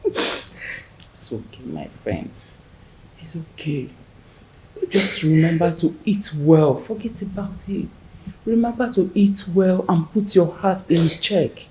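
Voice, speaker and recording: Woman acting as a widow, low voice, slow, gentle motherly comforting, faint noise. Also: expressive, poor audio. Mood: consoling. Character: widowed older woman consoling a grieving friend.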